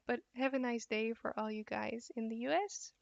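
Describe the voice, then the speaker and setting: A young Dutch woman with a fairly high, gravelly voice chats tiredly while she draws on a live stream. The sound is dry and a little muffled. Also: normal speed, dry recording. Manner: relaxed, reads and answers chat questions while drawing. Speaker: art teacher streaming live. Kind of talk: live drawing stream with chat.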